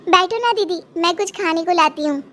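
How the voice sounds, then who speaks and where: A woman's voice: very high voice, moderate pace, a bit bright. A woman voicing a polite, dutiful young daughter-in-law in a Hindi cartoon, earnest and respectful. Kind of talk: Hindi cartoon moral story acting.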